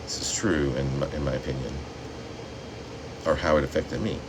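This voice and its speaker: Man, very low voice, poet's reading, deliberate dramatic phrasing, steady air conditioning hum. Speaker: poet reading own work. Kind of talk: poetry reading with spoken asides.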